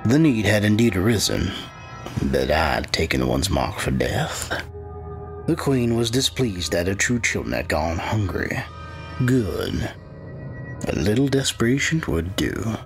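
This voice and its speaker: An actor playing a grim, secretive 1920s small-town sheriff, telling his dark tale as a gravelly first-person narrator. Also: fairly low pitch. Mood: sinister, guarded. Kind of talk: first-person dramatic storytelling.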